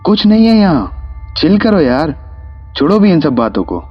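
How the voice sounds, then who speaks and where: A man's voice: on the high side, very animated, moderate pace, husky, lo-fi sound, soft background music. A man playing a brash young man among friends in a horror story drama. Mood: dismissive.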